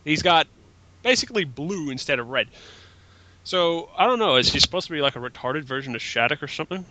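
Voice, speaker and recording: Blunt guy nitpicking stuff casually, super bright sharp voice, dry recording. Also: on the high side, expressive, brisk, no echo, poor audio. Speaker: guest co-commentator. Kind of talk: casual critical commentary.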